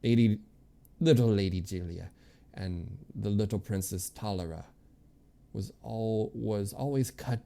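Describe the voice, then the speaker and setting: A man's voice: lowish voice, unhurried, vocal fry, mellow. A man voicing a kindly, wistful old woman, a former nursemaid and healer, in a story read aloud. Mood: fond, reminiscing.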